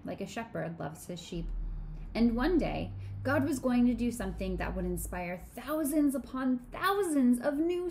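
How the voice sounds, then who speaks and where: Woman in her 30s reading a kids' bible story at home, soft expressive voice, camera at desk distance, faint hiss. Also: mid-range voice. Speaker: children's sermon co-leader. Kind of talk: reading a storybook aloud to children. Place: small home room.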